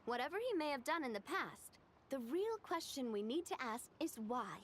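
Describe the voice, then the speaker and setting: An actress voicing a kind young woman leader in a game, gentle and reassuring. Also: high voice, big pitch swings, moderate pace, slight background noise. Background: game music.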